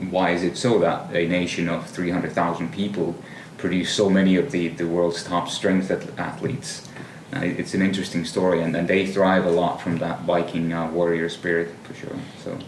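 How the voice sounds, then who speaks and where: A young adult man from Finland, chatting casually as a podcast guest, relaxed and thoughtful with many fillers and restarts. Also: sits low, moderate pace, hardly any pauses. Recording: roomy, distant mic, faint noise.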